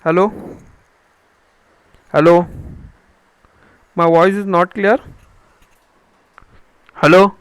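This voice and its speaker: A man's voice: sits high, steady tone, drawn-out words, pauses often, clean tone, rough recording. A man with an Indian accent giving a live online engineering lecture, posing questions to himself and repeating phrases as he explains.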